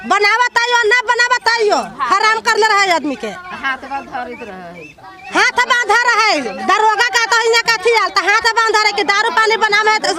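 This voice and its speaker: A woman with a rural Bihari accent in a street interview, complaining emphatically and repeating herself as she goes. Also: very high-pitched. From Bihar, India. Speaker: villager being interviewed. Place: outdoors in a village settlement.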